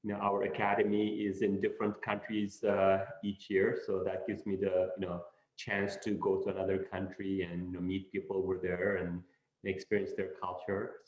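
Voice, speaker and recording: A middle-aged man from Turkey, a professor answering interview questions in an unhurried way, with many "you know" fillers. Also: sits low, completely flat delivery. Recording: echoey room, dull lo-fi sound.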